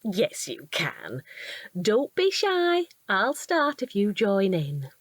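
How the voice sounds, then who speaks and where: Expressive, unhurried British wife. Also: female voice, medium pitch, no room sound, clean studio sound, exaggerated voice. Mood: affectionate, coaxing. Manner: chatty, gushing, expressive. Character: chatty, kindly, trusting wife of a police detective. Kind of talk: naturalistic audio drama acting.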